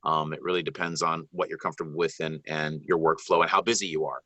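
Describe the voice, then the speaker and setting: Deep-voiced man giving narration advice. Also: little pitch movement, quick pace, pushed and bright, husky, no echo, muffled recording. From Bahamas. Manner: earnest, rambling, many ums and restarts. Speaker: guest narrator. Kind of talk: live video Q&A advice.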